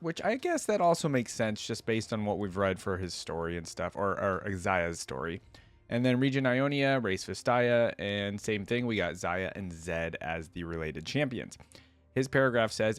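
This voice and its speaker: A man with a fairly low voice reads fantasy lore aloud in a casual reaction style. His delivery is informal, like someone sharing a story and his own take on it. The sound is close and dry, with little room in it. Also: dry recording. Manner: casual, informal asides between read passages. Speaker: reaction video creator.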